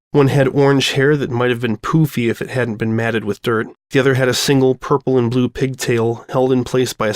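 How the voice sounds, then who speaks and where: A man's voice: mid-range voice, no echo, studio quality. A man reading fan fiction as scripted story narration.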